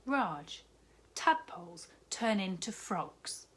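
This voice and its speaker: A woman's voice: medium pitch, very animated, slow pace. A woman voicing a sad, hungry young schoolgirl who speaks sheepishly and mumbles.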